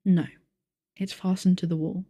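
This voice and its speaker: A woman playing a calm game master who narrates a sci-fi tabletop adventure in measured, descriptive speech. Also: fairly low pitch, husky, dry recording, clean studio sound. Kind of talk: scripted narration, ASMR audio roleplay.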